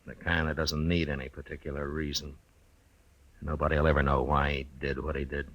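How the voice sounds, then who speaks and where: An American actor playing a stern, weary, steady Old West marshal in a 1950s radio western. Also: male voice, extremely low pitch, a little fast, lo-fi sound. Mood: weary, grave.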